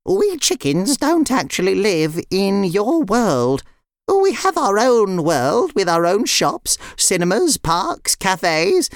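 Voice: medium pitch, no room sound, studio quality, voice pushed up, heavily acted. A storyteller voicing a fussy, chatty talking chicken that explains things matter-of-factly in a children's story. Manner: explanatory, with little hesitations.

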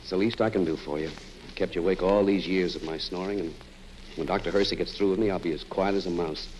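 An American actor playing a cranky, stubborn, excitable husband in an old-time radio comedy, grumbling with exasperation. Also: male voice, low-pitched, fast, lightly acted. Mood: weary grumbling. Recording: noisy vintage radio recording.

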